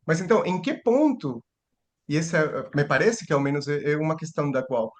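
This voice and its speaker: A man's voice: on the high side, lively intonation, a little fast. A man giving a seminar lecture, thinking aloud with restarts and rhetorical questions.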